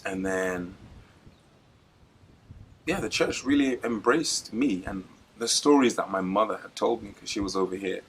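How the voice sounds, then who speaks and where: A young man from Zimbabwe, guest at a church interview, telling his story in a relaxed, reflective way and laughing easily. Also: fairly low pitch, a little slow, rough recording. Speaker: guest preacher.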